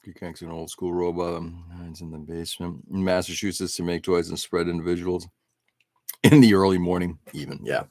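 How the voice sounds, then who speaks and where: A man's voice: sits low, fairly even intonation, fairly quick, darkish tone, dry recording, studio quality. A middle-aged man hosting an early-morning live stream, rambling casually and answering viewers' chat comments.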